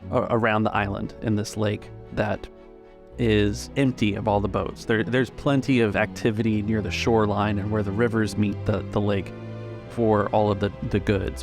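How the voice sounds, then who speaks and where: Low, gravelly man narrating a tabletop game. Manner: halting, searching descriptive phrasing. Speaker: game master.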